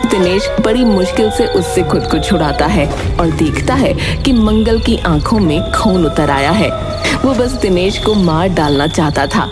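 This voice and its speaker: A woman's voice: on the low side, lively intonation, measured pace. A woman narrating a horror cartoon story in a dramatic storytelling manner. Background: loud music.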